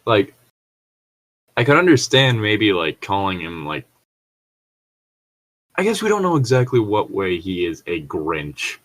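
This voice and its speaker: A man's voice: on the low side, wildly swooping pitch, a little slow, long pauses, a bit bright. A man co-hosting a casual read-along, reading stories aloud and breaking off into rambling, joking commentary. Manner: rambling, jokey, chuckles at own remarks.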